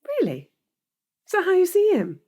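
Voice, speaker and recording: An actress playing an earnest, lovelorn woman in an audio drama, with a breathy voice and intimate delivery. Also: extremely high pitch, wildly swooping pitch, moderate pace, darkish tone, exaggerated voice. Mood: yearning. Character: earnest, lovelorn woman pleading for her love. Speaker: actor.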